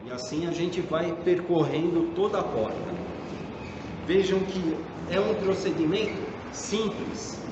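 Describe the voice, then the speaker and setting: A man with a Brazilian accent giving a hands-on tutorial in a deliberate, step-by-step explanatory way. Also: sits high. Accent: Brazilian Portuguese. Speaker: tradesman demonstrating door painting. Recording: dull, echoey, reverberant room with background noise.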